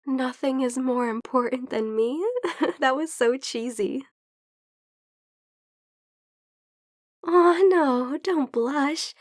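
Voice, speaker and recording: Soft, high-voiced, unhurried vampire girl played by a woman. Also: no echo, studio quality. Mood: playful teasing. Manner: soft, hesitant, playfully teasing. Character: sick, gentle, teasing female vampire friend. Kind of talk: soft-spoken ASMR roleplay.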